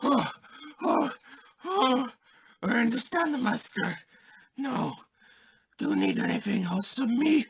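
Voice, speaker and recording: Man acting a raspy, fawning assassin servant. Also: very high voice, wildly swooping pitch, slow pace, long pauses, highs cut off, full character voice. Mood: relieved, devoted. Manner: servile, theatrical pleading. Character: fawning, anxious male assassin servant of cult. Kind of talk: amateur radio play acting.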